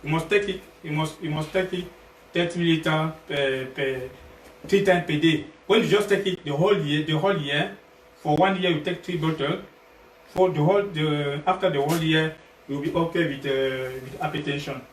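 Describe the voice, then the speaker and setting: Halting Cameroonian man, echoey livestream. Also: on the high side. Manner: halting, repetitive, rephrasing phrases several times. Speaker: health product sales partner, guest presenter. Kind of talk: informal livestream product pitch. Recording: echoey, noisy, distant livestream mic.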